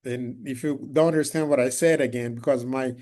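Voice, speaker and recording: Brazilian-accented man, Portuguese native, halting guest speaker on a call, lots of restarts. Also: medium pitch, steady tone, darkish tone. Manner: halting, many restarts and fillers. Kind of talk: online talk. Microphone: video call.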